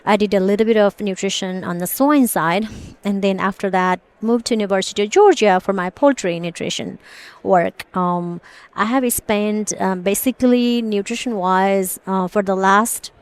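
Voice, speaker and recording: Woman, smooth clear voice, dry close sound, lots of um fillers, friendly interview talk. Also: medium pitch, no echo. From Nepal. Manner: many ums, quick bursts, friendly laughs. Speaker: associate professor, guest. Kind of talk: casual podcast interview.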